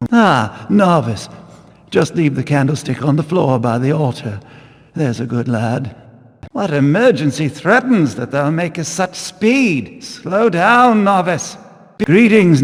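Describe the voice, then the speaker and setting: An actor playing a stern, devout zealot of a religious order in a video game, speaking archaic English in a pious, formal, declamatory way. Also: male voice, fairly high pitch. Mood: bossy. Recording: long hall reverb.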